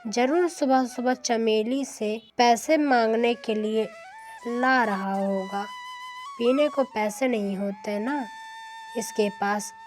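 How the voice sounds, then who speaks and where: A woman's voice: a little high, slow pace, soft background music. A woman voicing an outspoken old village woman in a cartoon moral story, indignant and chiding. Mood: scornful.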